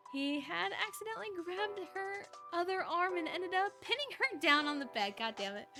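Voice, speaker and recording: A woman streamer reading fan fiction aloud in a casual way, given to breaking off for offhand reactions of her own. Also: sits high. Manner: breaks off to react and giggle at the story. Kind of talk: casual live story reading with offhand asides.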